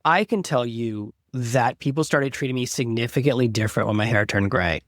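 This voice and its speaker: Casting note: man, 40s, podcast host, relaxed chatty delivery, dry close sound. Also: medium pitch, a little fast, no echo. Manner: casual, chatty, joking self-deprecation. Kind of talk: casual interview chat.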